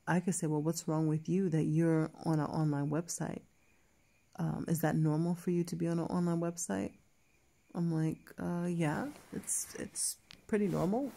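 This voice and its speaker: A woman with a low, gravelly voice recounts a personal story in a relaxed, chatty way. Her tone is dark and mellow, and she often restarts her sentences and stops to quote what was said. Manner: chatty, many fillers and restarts, quotes others in dialogue. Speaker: vlogger. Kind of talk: casual storytelling to camera.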